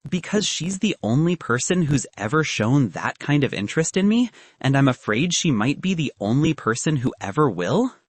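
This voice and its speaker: A man's voice: on the high side, very animated, normal speed, few pauses, breathy edge, no echo. A man voicing a lonely young physics student in an audiobook, hesitant, defensive and full of self-doubt. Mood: defensive, insecure.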